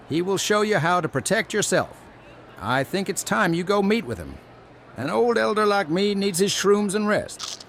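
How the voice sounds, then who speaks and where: An actor playing a kindly old fantasy elder in an audio story, creaky and folksy, rambling reassuringly. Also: male voice, sits high, a bit bright, a little hiss or hum, exaggerated voice. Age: older. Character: kindly, chatty old gnome-folk elder who loves mushrooms.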